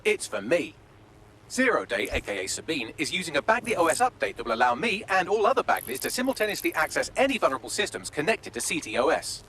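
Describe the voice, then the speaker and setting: A man's voice: fairly high pitch, very animated, fast talker, a bit bright, slight background noise. A man with a British accent playing a dry, witty artificial intelligence assistant in a video game, precise and formal. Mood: wry urgency.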